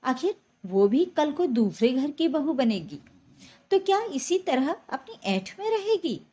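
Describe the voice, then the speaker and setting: A woman's voice: high-pitched, sing-song, measured pace, mellow. A woman voicing an indignant young daughter-in-law who is firm and defiant, in a spoken story. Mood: firm rebuke.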